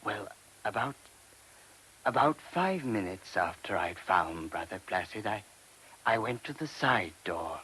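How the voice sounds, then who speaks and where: An actor playing an earnest, careful monk with a husky voice, giving testimony in a radio play. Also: male voice, fairly low pitch, lively intonation, unhurried, bright, forceful tone, a little hiss or hum, poor audio. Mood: earnest, uneasy. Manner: hesitant, careful.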